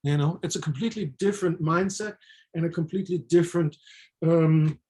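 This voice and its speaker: Low-voiced middle-aged woman, halting and reflective. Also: mellow. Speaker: physician and book co-author, guest. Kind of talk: casual personal chat.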